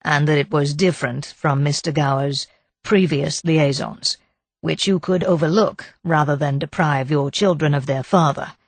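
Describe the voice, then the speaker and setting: A woman reading a measured police inspector. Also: deep voice, steady tone, no room sound. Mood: pressing. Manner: measured, probing. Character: calm, methodical male police chief inspector.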